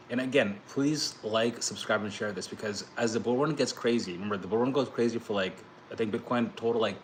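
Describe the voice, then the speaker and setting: Casting: young man, low voice, chatty crypto vlogger, rambling and restarting, faint hiss behind. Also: poor audio. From Dominican heritage. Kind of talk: casual vlog monologue.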